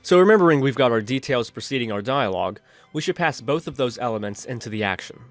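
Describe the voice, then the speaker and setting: A man's voice: medium pitch, animated, quick pace. A man narrating an instructional screencast as a tutorial host, explaining methodically step by step. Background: background music.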